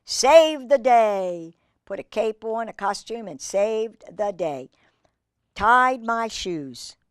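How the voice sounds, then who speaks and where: A woman hosting a children's storytime, reading aloud with drawn-out emphasis and chatting in asides to young listeners. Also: a little high, animated, clear, resonant tone, no echo, clean studio sound. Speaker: host reading picture books to children.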